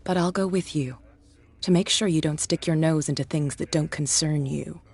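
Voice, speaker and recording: A man voicing a tough, shrewd male gang boss who talks in a calm, threatening, businesslike way. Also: on the high side, normal speed, breathy edge. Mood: bargaining. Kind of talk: video game voice acting.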